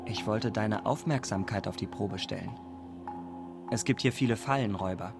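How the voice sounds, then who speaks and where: An actor playing a protective, teasing brother in a dream scene of a radio drama, speaking in a familiar manner. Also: male voice, on the low side, moderate pace, vocal fry. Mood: teasing, reassuring. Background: music.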